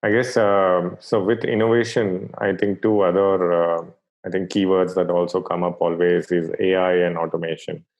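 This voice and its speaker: Low steady voice guy interviewing, flat delivery, a few uhs. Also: normal speed. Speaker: interview host. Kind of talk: interview questions.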